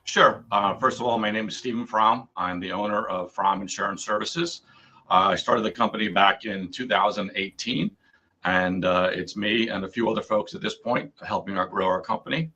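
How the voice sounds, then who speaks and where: A hoarse man, an insurance agent, explaining earnestly as a guest on a radio interview. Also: fairly low pitch, normal speed, sharp tone.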